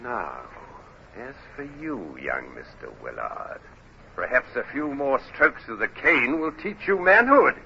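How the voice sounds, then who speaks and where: Paranoid sea captain, wildly swinging voice. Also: male voice, medium pitch, sing-song, measured pace, creaky, slight background noise. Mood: cruel, commanding. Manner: menacing, swings from hushed insinuation to barking. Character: paranoid, tyrannical older male navy captain. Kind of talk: old-time radio drama acting. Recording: dull, lo-fi old radio recording.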